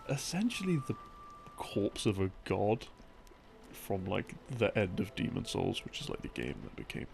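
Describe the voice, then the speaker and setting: A man playing an affectionate, laid-back gamer boyfriend in an intimate ASMR roleplay, rambling softly and hesitantly to soothe his partner to sleep. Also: low-pitched, sing-song, creaky, a little hiss or hum, full character voice. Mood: soothing storytelling. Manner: soft-spoken, hesitant, teasing, with small chuckles and long pauses. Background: background game music.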